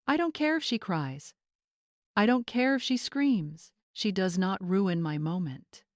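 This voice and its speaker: A woman voicing an entitled, imperious young bride with a sharp, demanding manner in a dramatic story. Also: mid-range voice, animated, unhurried, no echo, studio quality, natural voice. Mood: cold, imperious. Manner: hissing, demanding.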